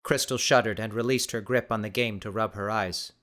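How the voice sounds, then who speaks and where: A man's voice: lowish voice, steady tone, a little fast, a bit bright. A man reading scripted, dramatic story narration as an audiobook narrator.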